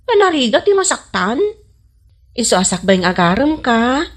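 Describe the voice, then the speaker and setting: Woman acting a high, animated feisty vendor. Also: normal speed. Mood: doubtful, pleading. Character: feisty noodle vendor, anxious in love. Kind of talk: Ilocano comedy radio drama acting.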